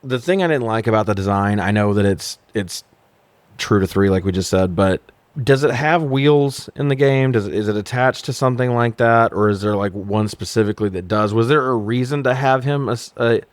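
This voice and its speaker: Deep-voiced man chatting casually. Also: moderate pace, no room sound. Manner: joking, casually profane. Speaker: podcast co-host reviewing films. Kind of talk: casual film review chat, recapping scenes.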